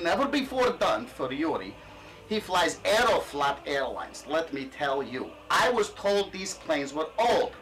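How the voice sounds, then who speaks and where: A man's voice: sits high, sharp tone, far from the mic, exaggerated voice, poor audio. An actor playing a boastful middle-aged Russian ex-KGB surplus peddler with a Russian accent, talking in broken English salesman patter.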